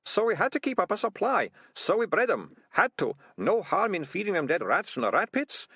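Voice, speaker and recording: A man's voice: very animated, moderate pace, pushed and bright, slightly rough, dry recording, clean studio sound, exaggerated voice. A man voicing a guilty, whining rat-catcher in a storybook, moaning and stammering his excuses in colloquial speech. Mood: whining, defensive. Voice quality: high-pitched moaning voice. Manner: stammering, colloquial, self-justifying.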